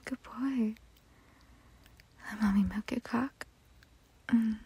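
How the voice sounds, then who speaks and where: Woman, soft breathy whisper, husky and dark, unhurried delivery. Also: fairly high pitch, steady tone. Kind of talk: intimate whispered roleplay audio.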